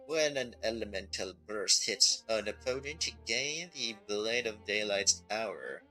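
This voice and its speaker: A male game streamer with a fairly low but very bright, punchy voice. He commentates casually, thinking aloud and reading from the screen, with music behind him. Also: animated, unhurried, rough recording. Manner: thinks aloud, reads on-screen text, asks himself questions.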